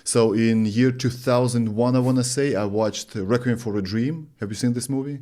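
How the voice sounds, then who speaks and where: A man's voice: mid-range voice, steady tone, moderate pace, mellow. A man in his thirties from Lithuania, a native Russian speaker, hosting a studio podcast interview and speaking earnestly with frequent restarts. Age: 30s. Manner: earnest, searching for words, many restarts. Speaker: podcast host. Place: studio with green curtain. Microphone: studio mic on boom arm.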